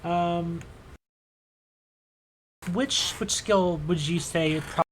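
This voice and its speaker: A game master narrating a tabletop game casually, thinking aloud with halting phrasing. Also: mid-range voice, slight background noise, poor audio.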